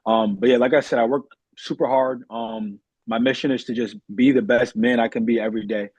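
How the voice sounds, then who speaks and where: A man's voice: medium pitch, fairly even intonation, fairly quick, darkish tone, husky, no room sound, lo-fi sound. A young man from Massachusetts talking earnestly and politely as a guest in a casual interview. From Massachusetts, United States. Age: young adult.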